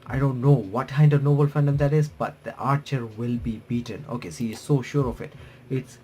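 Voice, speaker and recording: A man reading game text aloud and adding casual, halting commentary as a playthrough streamer. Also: medium pitch, a little mellow, a little hiss or hum. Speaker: YouTuber playing and reacting to a visual novel.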